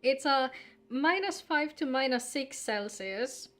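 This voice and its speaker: A woman game streamer with a fairly high voice chats informally with her viewers. She is teasing and swears freely. Faint music plays behind her. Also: expressive, slow pace, fairly bright tone. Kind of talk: casual chat with stream viewers.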